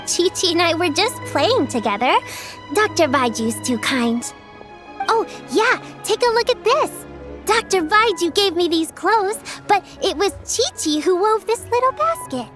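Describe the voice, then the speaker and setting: A woman's voice: extremely high pitch, very animated, unhurried, fairly bright tone, faint music behind, full character voice. A woman voicing a sweet, helpful little girl in a video game, chirpy, kindly and polite. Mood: bright, friendly.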